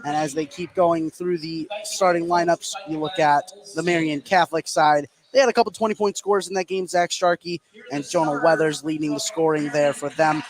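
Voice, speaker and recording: A man's voice: on the high side, steady tone, moderate pace, hardly any pauses. A man calling a basketball game live as a play-by-play radio commentator. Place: indoor school gym. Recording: crowd noise behind the voice. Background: gym crowd.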